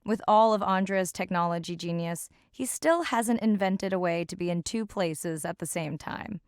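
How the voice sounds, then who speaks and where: A woman playing a caring, reflective young marine scientist in a video game, speaking naturally and intimately with a slightly gravelly edge. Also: on the low side, dry recording, studio quality, close to an everyday voice.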